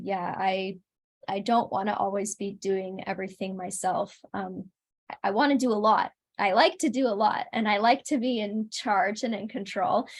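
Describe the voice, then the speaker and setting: Female interview guest, average pitch, casual conversational delivery, thoughtful and earnest. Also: breathy edge. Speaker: interview guest, singer-songwriter.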